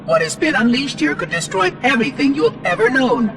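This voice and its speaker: A man's voice: extremely high pitch, huge pitch swings, exaggerated voice. An actor playing a fussy, condescending male AI monitor robot in a video game. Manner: prim, condescending, quick.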